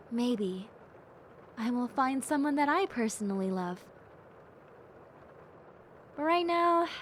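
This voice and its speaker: A woman voicing a gentle, cheerful young bat girl in a soft, playful and sincere audio roleplay. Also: fairly high pitch, a little hiss or hum, natural voice. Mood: wistful, dreamy. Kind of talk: audio roleplay addressed to the listener.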